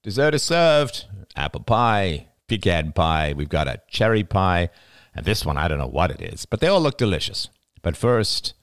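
Man playing a devout old patriarch, projecting deeply. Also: very animated, dry recording, clean studio sound. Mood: hearty. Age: older. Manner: solemn, measured, declaiming. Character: devout, hearty elderly father saying grace.

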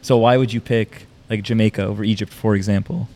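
A man's voice: lowish voice, steady tone, quick pace, warm tone. A man hosting a casual live podcast on a university campus, asking easygoing, curious questions and laughing often. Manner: laid-back, probing questions, laughs often. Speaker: podcast host.

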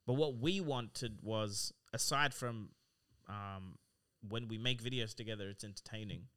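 Aussie bloke cohosting a podcast, lowish voice, bright edge, swears and laughs as he talks. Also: a little slow, clean tone. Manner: candid, swears casually, laughs mid-sentence. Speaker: podcast co-host. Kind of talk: casual chat. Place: studio room, in person.

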